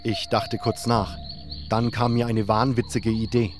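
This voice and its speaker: A man's voice: fairly low pitch, level tone, unhurried, over music. A man narrating a fantasy audiobook in the first person, reading from a script.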